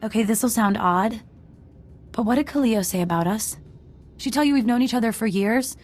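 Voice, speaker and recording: A woman's voice: fairly high pitch, normal speed, close to an everyday voice. An actress voicing a scrappy, street-smart woman outlaw in a video game, wary and defiant, talking in clipped colloquial phrases. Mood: wary, confiding. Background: music.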